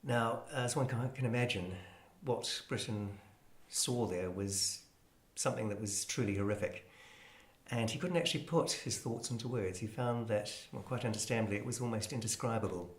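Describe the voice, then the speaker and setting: A man presenting an explanatory talk on classical music in a measured, hesitant, scholarly manner. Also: fairly low pitch. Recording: roomy, echoing space.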